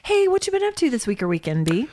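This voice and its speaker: A woman's voice: high-pitched, huge pitch swings, fast talker, dark tone, no room sound. A woman co-hosting a podcast in casual chat, with a playful, teasing manner. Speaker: podcast co-host.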